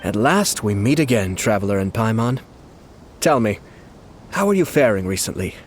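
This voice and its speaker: A man playing a composed young nobleman in a video game, formal, polite and measured in speech. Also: lowish voice, sing-song, a little hiss or hum. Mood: pleasantly courteous.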